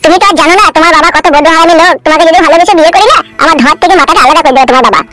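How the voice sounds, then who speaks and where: A man's voice: very high voice, rapid-fire, over loud music. A man voicing a timid, naive, protesting married simpleton who frightens easily, in a comedy cartoon. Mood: anxious refusal. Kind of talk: amateur cartoon comedy dubbing.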